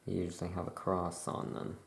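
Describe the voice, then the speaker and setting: A soft-spoken ASMR creator playing a witch shopkeeper, musing aloud in an unhurried way. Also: sits low, completely flat delivery, vocal fry, reverberant, slight background noise.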